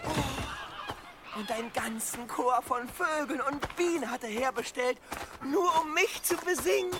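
Woman acting a high, childlike pious young killer. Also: unhurried, noisy background. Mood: joyful, boastful. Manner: childlike, pleading, stammering. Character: childish, pious, gullible young male killer, boastful and easily frightened. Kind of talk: German radio drama acting.

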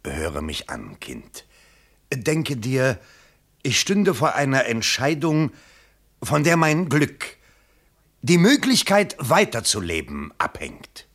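A man's voice: medium pitch, huge pitch swings, slow, heavily acted. An actor playing a desperate, vain middle-aged father and political candidate in a theatrical radio play. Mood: wheedling. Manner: theatrical, pleading, swinging from hushed to frantic. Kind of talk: stage-like German radio play acting.

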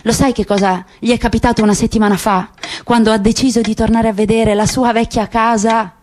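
A woman's voice: fairly high pitch, level tone, brisk, a little mellow, a little hiss or hum, lightly acted. A woman voicing a bitter, grieving middle-aged father who speaks insistently and rhetorically, for a radio drama. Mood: somber.

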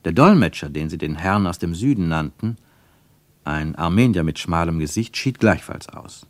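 A man's voice: sits low, expressive, normal speed, no room sound. A man reading scripted literary narration for a radio play, as its narrator.

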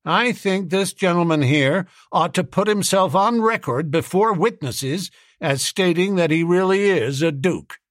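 A man's voice: sits high, measured pace, hardly any pauses, no echo, studio quality, pitched above the performer's own voice. A man voicing a stout, hard-headed and suspicious American businessman, brash and insistent.

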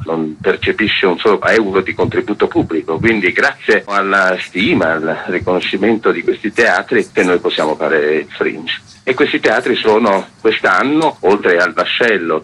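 Husky, low-voiced male festival director. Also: bright, forceful tone, rough recording. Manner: discursive, with filler pauses. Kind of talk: radio interview.

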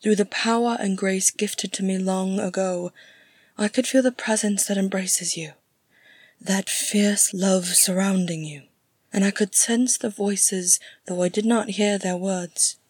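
A gentle, mysterious elf lady of a fantasy tale, as a woman reader acts her out. Her voice is soft and breathy, her phrasing slow and formal. She sounds serene and soothing. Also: mid-range voice, steady tone, no echo. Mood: gentle, solemn.

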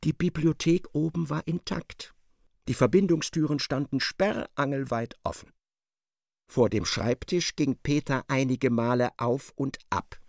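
A man giving expressive literary narration in a radio drama, as a storyteller. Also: mid-range voice, measured pace, gravelly, no room sound, studio quality.

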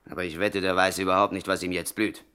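A man's voice: low-pitched, little pitch movement, fast talker, bright, forceful tone, clear, resonant tone. An actor playing a tough, sardonic gangster henchman in a 1960s radio crime play. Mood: amazed, menacing.

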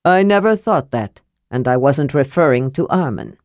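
Woman as a deep-voiced, mild ancient male dwarf. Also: expressive, moderate pace, a little mellow, no room sound, clean studio sound. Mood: calm, knowing. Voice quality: strong, deep, youthful.

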